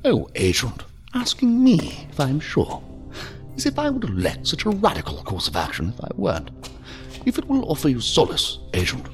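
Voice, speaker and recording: A silky, pompous ambassador of a secret power in a sci-fi audio drama. The voice swoops dramatically from low to high, savoring each ornate phrase with mocking courtesy and condescension, over a music bed. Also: male voice, wildly swooping pitch, moderate pace. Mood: mocking, grandiose. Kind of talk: science fiction audio drama acting.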